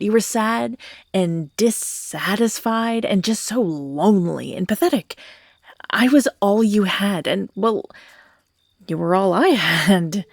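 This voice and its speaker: A woman's voice: medium pitch, a little mellow, no room sound, clean studio sound. A woman playing an obsessive, manipulative young kidnapper in a dramatic audio roleplay, theatrical, with sighs, whispers and outbursts. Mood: indignant, scornful. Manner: theatrical, swinging between whispers and outbursts, sighing.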